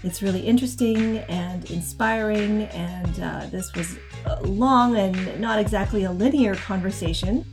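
Female podcast host for casual author interviews, medium pitch, music bed underneath. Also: a little slow, hardly any pauses.

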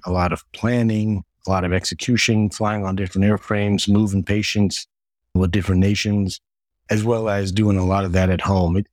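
Low-voiced man recounting in long lists. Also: no echo. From United States. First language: Hungarian. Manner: steady, recounting in long lists with frequent word repeats. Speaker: guest, retired Air Force medic. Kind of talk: podcast interview answers.

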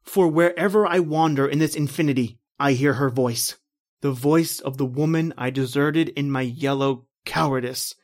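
A man's voice: medium pitch, moderate pace, natural voice. A man playing a haunted, terrified narrator. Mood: mournful. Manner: intense, rising to panicked shouting. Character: terrified man narrating his own death. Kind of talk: old-time radio drama acting.

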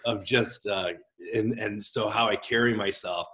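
A man in his forties with a big voice, telling his own story as a pastor and guest in an expressive, gregarious, halting conversational way. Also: lowish voice. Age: 40s. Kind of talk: reflective personal storytelling in conversation.

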